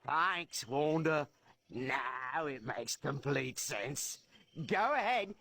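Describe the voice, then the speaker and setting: A man's voice: mid-range voice, lively intonation, very slow, sharp tone, no room sound, exaggerated voice. A man playing a petulant, sarcastic misfit mutant in a video game, drawling his complaints. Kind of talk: video game cutscene acting.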